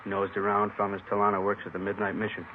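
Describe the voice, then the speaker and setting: Man as flat, fast-talking radio cop. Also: fairly low pitch, background noise, natural voice. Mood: businesslike. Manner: clipped, subjectless sentences, deadpan. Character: laconic plainclothes male police detective reporting leads. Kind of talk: old-time radio crime drama acting. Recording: narrow, tinny old radio recording.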